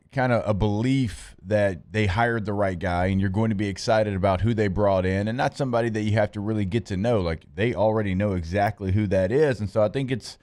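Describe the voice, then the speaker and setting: Low-voiced radio sports guy, steady, lists stats, studio talk. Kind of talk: casual sports talk banter.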